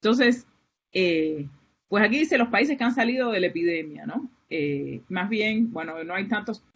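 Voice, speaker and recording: A woman's voice: mid-range voice, expressive, a little slow, husky. Cuban woman giving a hesitant seminar talk. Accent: Cuban Spanish. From Cuba. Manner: hesitant, many fillers and restarts. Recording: muffled, lo-fi online lecture audio.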